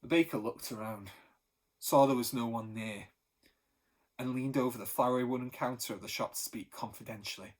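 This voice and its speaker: A teacher reading a children's novel aloud with expressive storytelling. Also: male voice, medium pitch, fairly quick.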